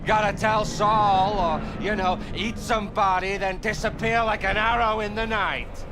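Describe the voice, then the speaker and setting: A man's voice: sits high, steady tone, unhurried, music in the background. An actor playing a menacing nomad tough guy in a video game, blunt and slangy. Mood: amused.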